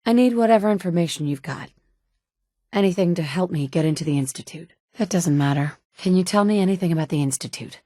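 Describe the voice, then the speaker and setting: A woman's voice: on the low side, quick pace, warm tone, no echo, studio quality, lightly acted. An actress playing a determined, guarded woman survivor in a video game, speaking in a clipped, matter-of-fact way. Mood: grim, determined. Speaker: voice actor for a video game player character. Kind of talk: video game dialogue lines.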